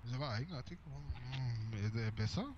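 A man's voice: medium pitch, animated, moderate pace, darkish tone. A man in casual, joking gaming chat over online voice chat. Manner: joking, teasing. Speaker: game streamer. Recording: muffled voice chat with clear background noise.

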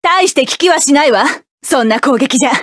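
A woman's voice: high voice, expressive, a little fast, a bit bright, no echo, studio quality. An actress playing a proud, cool-headed female mecha pilot who barks clipped, scornful battle lines. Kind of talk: video game battle voice lines.

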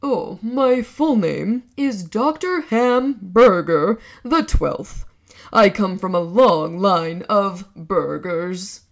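A woman voicing a proud old male dinosaur scientist in a children's storybook, with a deliberate, drawn-out delivery. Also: medium pitch, animated, vocal fry, exaggerated voice.

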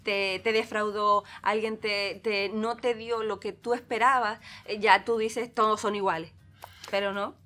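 A woman's voice: medium pitch, little pitch movement, a little slow, soft background music. A middle-aged woman hosting a talk show, speaking reflectively and bringing in faith. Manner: warm, reflective, speaks of God. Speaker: host. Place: TV studio set, seated on armchair.

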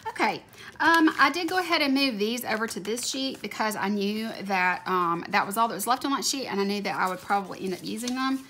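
A woman's voice: mid-range voice, fairly quick, sharp tone. A woman with a slightly scratchy voice chatting casually while she decorates a planner at her desk, gushing over cute stickers. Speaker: planner video creator. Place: home desk, overhead camera on hands. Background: faint room ambience, a dog snoring.

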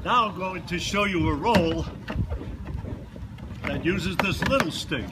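A man in his seventies explaining kayak rolls slowly and with gentle humor, speaking outdoors on open water and picked up by a distant camera. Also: high-pitched, expressive, heavy background noise. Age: 70s. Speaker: kayak instructor demonstrating rolls. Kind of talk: casual demonstration narration.

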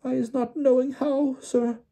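A man voicing a small, terrified female house-elf servant in an audiobook, squeaky, stammering and pleading tearfully. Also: little pitch movement, a little slow, gravelly, dark tone, full character voice. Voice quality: squeaky, little voice. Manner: stammering, gasping, broken grammar. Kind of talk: audiobook character voice.

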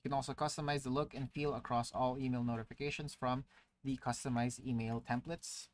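Male tutorial narrator, mid-pitch, relaxed explainer voice-over, loose and chatty with fillers. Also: brisk. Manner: casual explaining with frequent "uh" fillers. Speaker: tutorial presenter. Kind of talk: screen-recorded software tutorial. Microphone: voice-over to screen recording.

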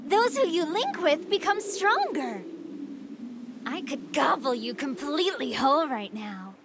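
High swoopy anime game villainess, gleeful cruel android, taunting, sweet tooth. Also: female voice, very animated, noisy background, heavily acted. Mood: hungry glee. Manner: theatrical, taunting, drawn-out delivery. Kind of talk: anime fighting game voice acting.

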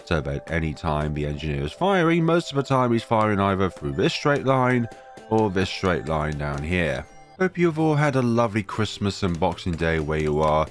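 A man's voice: deep voice, sing-song, normal speed, soft background music. A man with a cold narrating gameplay in a casual, wry way, talking to his game units as he plays. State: has a cold, voice deeper than usual. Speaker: game guide creator.

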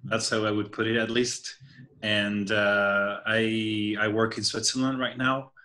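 A man from Argentina in his thirties talks over a video call in a halting, thoughtful way. His voice is lowish but bright and forceful. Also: flat delivery. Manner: thoughtful, halting, searching for words. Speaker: engineer. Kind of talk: casual video call chat. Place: home room by a window. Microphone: laptop webcam on a video call.

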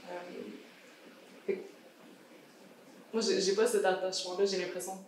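A young woman with a Québécois accent, a student speaking in a relaxed, reflective way on a panel. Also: mid-range voice, moderate pace, a little hiss or hum. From Montreal, Quebec. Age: young adult. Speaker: university student, panel guest. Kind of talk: casual panel discussion. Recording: echoey room, distant mic.

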